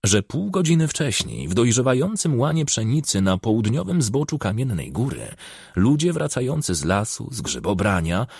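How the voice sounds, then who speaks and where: A man's voice: on the low side, darkish tone, breathy edge, no echo, studio quality. A man reading a crime novel aloud as a scripted audiobook narration.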